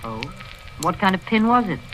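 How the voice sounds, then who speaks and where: A woman's voice: medium pitch, big pitch swings, normal speed, a bit bright, a little hiss or hum, poor audio. Haughty old wealthy lady, old-time radio. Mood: cool, dismissive. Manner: imperious, prim, scolding. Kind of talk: 1940s radio acting, first-person narration and dialogue. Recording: old radio drama recording with music.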